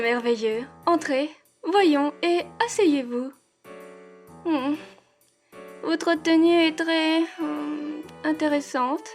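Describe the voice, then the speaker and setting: Casting: actress playing effusive aristocratic lady of a steampunk manor, high refined voice, faint music underneath. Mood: gracious, welcoming. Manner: refined, polite, airy, drawn-out. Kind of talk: French audio roleplay acting.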